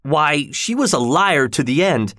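A man's voice: medium pitch, lively intonation, unhurried, no room sound, clean studio sound, close to an everyday voice. A man voicing a tormented, passionate male lover from a storybook, brooding and vengeful, with an intense, anguished delivery. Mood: wild, vengeful.

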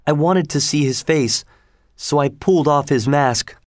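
A man's voice: on the high side, unhurried, no room sound, studio quality, voice pushed up, natural voice. A man voicing a frightened, earnest young woman opera singer in a dramatic storybook reading. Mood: anguished, pitying.

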